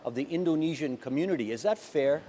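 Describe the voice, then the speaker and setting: A man working as a news anchor, asking interview questions and reading closing lines in a measured, scripted way. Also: on the high side, lively intonation, dark tone.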